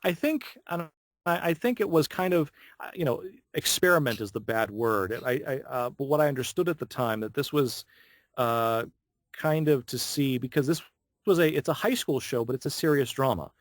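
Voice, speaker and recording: A man's voice: medium pitch, normal speed, no echo, clean studio sound. Man telling anecdotes in an interview. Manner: animated storyteller, acts out quoted dialogue. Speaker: interviewed anime voice actor. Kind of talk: casual anecdotal interview talk.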